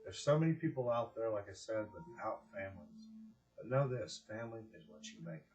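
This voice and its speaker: A man speaking earnestly and deliberately with pauses, as a presenter giving a heartfelt address and storybook reading. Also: on the low side, animated, darkish tone, faint music behind, rough recording. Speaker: director of a community organization, presenter.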